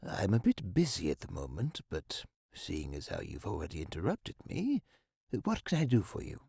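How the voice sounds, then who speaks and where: Fussy professor in an adventure game, husky, pitch jumps all over the place, formal wording. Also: male voice, huge pitch swings, mellow, dry recording, studio quality, exaggerated voice. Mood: busy, curt. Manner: formal, articulate, slightly hurried. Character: busy, modest academic professor, evasive and fussy. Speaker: voice actor. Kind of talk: scripted point-and-click game dialogue.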